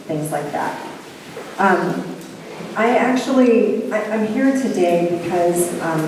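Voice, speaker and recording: Soft-voiced woman, slightly low, speaking at a town meeting, distant and roomy with background noise. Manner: soft-spoken, friendly, hesitant with ums. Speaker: end-of-life doula speaking at public meeting. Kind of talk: public comment. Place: large fairgrounds meeting hall. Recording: echoey hall, distant mic, noisy.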